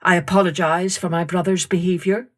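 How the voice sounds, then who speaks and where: A woman's voice: on the low side, little pitch movement, fairly quick, lightly acted. A woman voicing the sons of a frost spirit, courteous and formal travelling men in a storybook tale. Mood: apologetic. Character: Father Frost's travelling sons, polite wintry male strangers.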